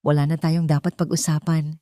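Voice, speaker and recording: A woman's voice: low voice, level tone, fairly quick, mellow, dry recording, studio quality, close to an everyday voice. A woman voicing a distressed, protective mother in short, emphatic sentences. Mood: accusing, hurt. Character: distressed, protective young mother, wounded and defiant.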